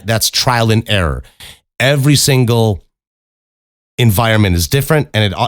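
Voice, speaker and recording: A man with a deep voice hosts a casual solo podcast, giving advice in a blunt, emphatic way with plenty of swearing, close on a studio microphone. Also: no echo. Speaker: podcast host and voice actor. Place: acoustically treated home recording room. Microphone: close studio condenser microphone.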